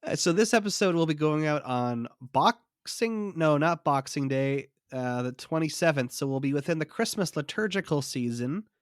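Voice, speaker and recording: A man's voice: on the high side, lively intonation, normal speed, few pauses, dry recording, clean studio sound. Stuffy-nosed man chatting on a podcast. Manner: chatty, shares trivia, self-deprecating. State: stuffy from a cold. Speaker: podcast co-host. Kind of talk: casual chat.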